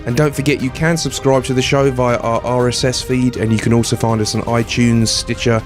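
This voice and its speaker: A man hosting a podcast, hoarse from a cold, talking casually with many ums and restarts. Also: medium pitch, level tone, a little fast, over music. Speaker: podcast host.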